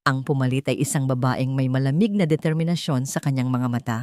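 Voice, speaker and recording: Low-voiced woman narrating a dramatic story. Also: fairly quick, a little mellow, no room sound, clean studio sound. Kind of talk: scripted dramatic narration of a courtroom romance story.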